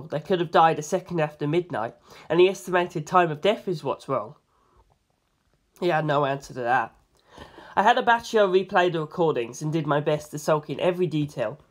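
A reader narrating a novel aloud in the first person, expressive and informal. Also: medium pitch. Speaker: amateur audiobook reader.

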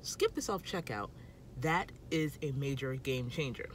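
A woman's voice: extremely low pitch, animated, measured pace, slight background noise. A woman in her thirties giving casual tips to camera from inside a parked car, emphatic and talking with her hands, filmed on a phone at arm's length. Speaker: food vlogger.

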